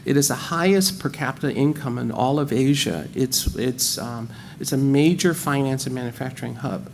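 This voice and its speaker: Gravelly American man on a panel. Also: medium pitch, moderate pace, a little hiss or hum. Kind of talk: panel discussion.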